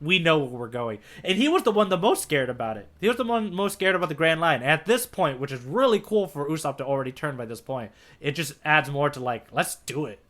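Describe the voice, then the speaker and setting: A man's voice: on the high side, expressive, fairly quick, fairly bright tone. A man co-hosting a podcast, talking about manga in an informal, enthusiastic way. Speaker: podcast co-host. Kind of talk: casual chat about manga.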